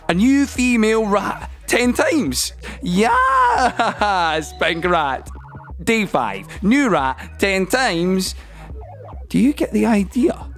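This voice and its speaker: A man's voice: high-pitched, fairly bright tone, exaggerated voice. A man performing a playful, nervy storyteller in an audio play, explaining science straight to the listener in a chatty, mock-lecturing way over music. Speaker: actor and narrator in an audio play. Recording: music bed under the voice.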